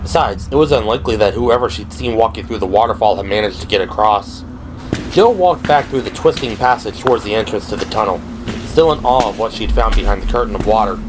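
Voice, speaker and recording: A man's voice: fairly low pitch, fast. A man reading scripted dramatic narration for an audio drama. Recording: clear background noise and ambience. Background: ambient sound effects.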